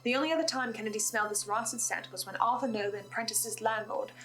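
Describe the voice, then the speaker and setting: Female commentator, higher register, musing and theatrical, deliberate pauses, faint music underneath. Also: fairly bright tone. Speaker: host of a podcast reaction and theory video. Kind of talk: scripted analysis commentary.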